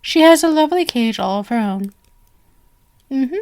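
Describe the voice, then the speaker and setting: A woman's voice: sits high, lively intonation, normal speed, darkish tone. A woman playing a genial but sinister stage magician in an ASMR roleplay, with flowery, theatrical, coaxing speech. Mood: playful, showy.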